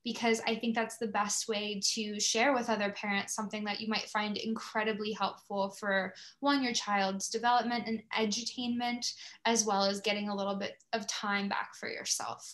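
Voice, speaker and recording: A woman's voice: medium pitch, level tone, moderate pace, fairly bright tone. A woman hosting a podcast, speaking in a conversational interview style. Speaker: podcast host.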